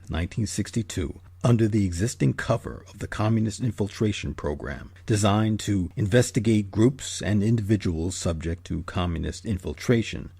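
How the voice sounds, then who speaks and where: A man narrating a scripted history documentary as host, in a measured, emphatic manner. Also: on the low side, gravelly, warm tone, breathy edge, no echo.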